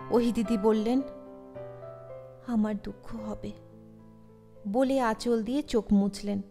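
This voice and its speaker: A woman reads an audio story as its storyteller. Her voice sits fairly high and her delivery is expressive and scripted. A long reverb surrounds it, and music plays behind.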